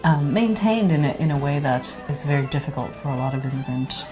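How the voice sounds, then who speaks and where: A woman from Nova Scotia reflecting in an interview, thoughtful and hesitant with many pauses. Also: low-pitched, lively intonation, over music.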